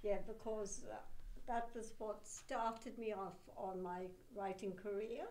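An older woman from Burma with an Anglo-Burmese accent, an author telling her story in an unhurried, reflective way with pauses. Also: medium pitch, steady tone, a little mellow, slight background noise, rough recording. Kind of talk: interview answers. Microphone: table mic on a stand.

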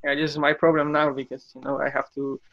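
A young Polish-accented man joins over a video call, speaking halting English with fillers and laughing easily. His voice sits a bit higher than most men's and the sound is dull and lo-fi but dry. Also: flat delivery, vocal fry, no echo. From Poland. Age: young adult. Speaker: podcast guest. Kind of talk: casual chat.

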